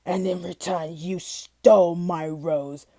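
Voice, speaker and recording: A woman voicing a huge clawed male beast in a storybook, growling and roaring with a dry, dark sound. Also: lowish voice, fairly even intonation, slow pace, no room sound, pitched below the performer's own voice. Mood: menacing.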